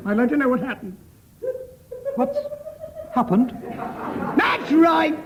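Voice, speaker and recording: Shrill, overwrought, very high voice. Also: male voice, huge pitch swings, slow pace, highs cut off, full character voice. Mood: agitated, demanding. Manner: insistent, overwrought. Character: shrill, agitated person demanding answers. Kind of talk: disguised-voice play reading for comedy. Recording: noisy radio studio recording.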